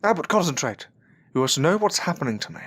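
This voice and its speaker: A man's voice: on the high side, big pitch swings, quick pace, fairly bright tone, slightly rough, full character voice. A man acting an earnest, grieving father who looks into magic in an audio drama. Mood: polite, uneasy.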